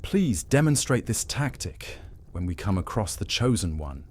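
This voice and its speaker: A man voicing a formal, proper male Jinn vampire in a fantasy audio drama, speaking in a measured, reproachful way. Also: lowish voice, very animated, darkish tone.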